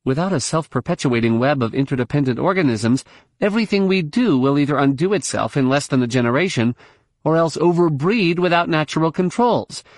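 A man's voice: a little high, expressive, a little fast, dry recording, clean studio sound, pitched above the performer's own voice. A man voicing a scowling woman scientist who presses her point with urgency. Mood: urgent.

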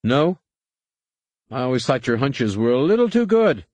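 A man's voice: medium pitch, very animated, moderate pace, darkish tone, dry recording, pitched above the performer's own voice, natural voice. A man voicing a nervous, patronizing older male co-pilot turned traitor in a space adventure audiobook. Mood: smug, coaxing.

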